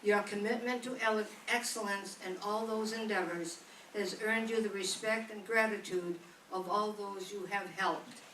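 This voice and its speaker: A woman's voice: medium pitch, fairly even intonation, normal speed, a bit bright. Woman reading a formal letter in a hall. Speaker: speaker reading a letter aloud. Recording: echoey room from a distance with faint noise.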